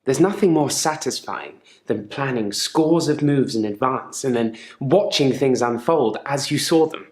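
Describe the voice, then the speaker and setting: Casting: male actor as controlled, unsettling therapy patient for a live-action game. Deliberate, polite delivery with quiet menace, mid-pitched, echoey room. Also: lively intonation, natural voice. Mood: calm, reflective.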